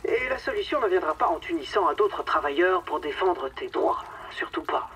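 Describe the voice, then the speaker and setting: A woman's voice: fairly low pitch, lively intonation, normal speed, a bit bright, husky, distant mic, full character voice. Actress playing a swooping, domineering female boss. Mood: condescending, preachy. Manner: condescending, theatrical, mock-sweet. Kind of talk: satirical radio drama dialogue.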